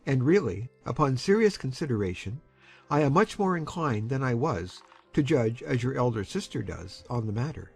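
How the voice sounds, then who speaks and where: A man acts a middle-aged gentleman uncle with a husky voice and a widely moving pitch. He reasons hopefully and deliberately, in period-novel style. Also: very animated, soft background music, heavily acted. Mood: hopeful, reasoning. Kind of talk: dramatized audiobook acting of a period novel.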